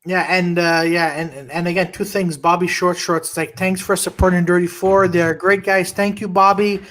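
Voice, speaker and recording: A man hosting a casual podcast chat over a video call, loud and rambling, swearing and restarting often. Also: sits high, flat delivery, moderate pace. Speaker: podcast host. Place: home room, video call.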